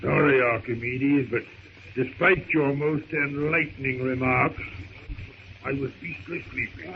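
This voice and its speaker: An actor playing a prim, literal-minded elderly scholar, speaking with precise, formal courtesy in old radio drama style. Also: male voice, mid-range voice, steady tone, unhurried, creaky. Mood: courteous. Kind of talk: 1930s radio drama acting. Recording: muffled, hissy old radio recording.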